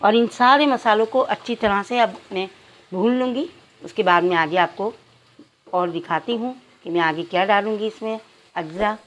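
A woman's voice: mid-range voice, moderate pace, low-quality recording. A woman narrating cooking instructions step by step, addressing viewers directly as a home cook. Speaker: home cook presenting a recipe.

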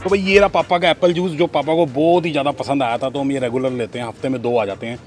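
A man's voice: medium pitch, steady tone, quick pace, faint music behind. A man in his thirties chatting casually to camera while shopping in a large supermarket, reading out prices, filmed on a handheld phone. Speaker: vlogger. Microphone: phone held at arm's length.

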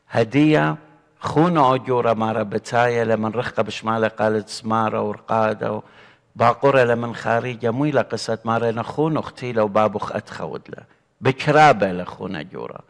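Earnest middle-aged deacon, echoing hall. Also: male voice, mid-range voice. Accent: Assyrian. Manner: earnest, gesturing, expressive preaching. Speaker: deacon teaching scripture. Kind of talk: bible study teaching. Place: indoor studio before a painted church backdrop.

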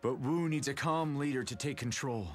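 A man's voice: mid-range voice, normal speed, natural voice. A man playing a stern, calculating warlord in a video game, grave and authoritative. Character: stern, calculating warlord brother taking command. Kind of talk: dubbed video game cutscene acting. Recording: faint game music behind.

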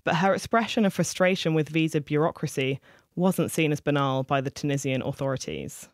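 A reporter giving scripted news narration with a clear, even delivery. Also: mid-range voice, brisk, dry recording, clean studio sound.

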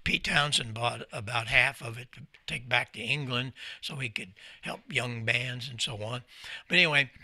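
A man's voice: mid-range voice, moderate pace, pushed and bright, dry recording. An older American man giving an informal talk as a storyteller, folksy and emphatic, close on a desk microphone. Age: 70s or older. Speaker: presenter, company founder. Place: small indoor ham radio room, seated at desk. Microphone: desk microphone close in front.

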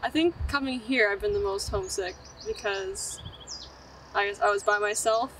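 A woman's voice: mid-range voice, normal speed, a bit bright, faint music behind. A woman in her twenties from Canada, a student, speaking casually with fillers on an outdoor bench. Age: 20s. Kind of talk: documentary interview. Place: outdoors on a bench among trees. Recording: distant mic, light outdoor noise.

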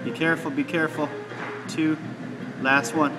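A male personal trainer with a slightly high voice, cheering on his client and counting reps aloud. His voice rings with long reverb off the hard walls of a large gym. Music plays clearly behind him. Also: flat delivery, normal speed. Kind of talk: coaching and commentary. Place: gym studio, indoors. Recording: camera audio in a large echoing gym with music.

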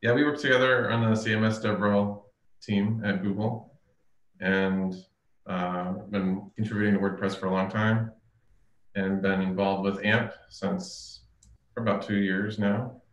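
A man's voice: on the low side, steady tone, measured pace, long pauses, roomy sound, far from the mic, poor audio. A man on a casual video call from a shared office, talking in an easygoing, joking way. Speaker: guest, engineer. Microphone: video call from far off.

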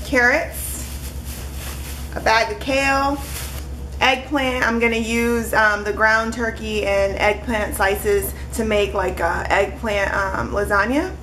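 A woman's voice: a little high, steady tone, slow pace, bright, forceful tone, slight background noise, rough recording. A young woman in her twenties chatting casually in a home kitchen, holding up groceries one by one for a webcam vlog. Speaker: vlogger.

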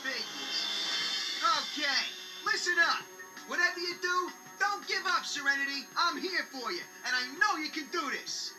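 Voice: sits high, animated, normal speed, poor audio. An actor in an English anime dub playing a brash, loyal, slangy teenage big brother. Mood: encouraging, cocky. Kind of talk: English-dubbed anime acting. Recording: TV playback filmed, loud music behind.

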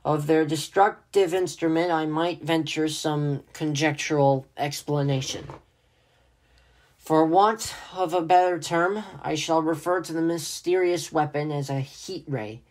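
A man playing a learned professor in a radio play, speaking in a pedantic, deliberate way. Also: on the high side, fairly even intonation, darkish tone, lightly acted. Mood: grave, scholarly. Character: learned male scientist professor giving grave eyewitness conjecture.

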